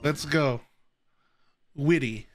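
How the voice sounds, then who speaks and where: A man with a fairly high voice commentates casually on a game stream. Video game music plays behind him as he talks. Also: extremely slow.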